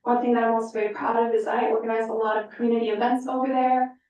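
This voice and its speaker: A woman's voice: on the high side, little pitch movement, fast, dark tone. A young woman from San Diego, a student candidate answering an interview with proud enthusiasm. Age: young adult. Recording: echoey room, distant mic.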